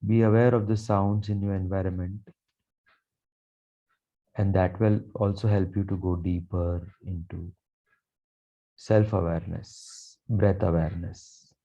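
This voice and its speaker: A man guiding a meditation with affirmations in a slow, calm, soothing delivery with long pauses. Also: low-pitched. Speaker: meditation guide, Reiki teacher. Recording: dull, muffled lo-fi sound.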